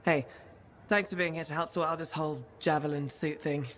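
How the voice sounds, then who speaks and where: An actress playing a sardonic, cheeky freelancer troublemaker in a video game, casual in manner. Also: female voice, low voice, fairly even intonation, a little fast, slight background noise, lightly acted. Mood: sardonic, nervous. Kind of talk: game dialogue acting.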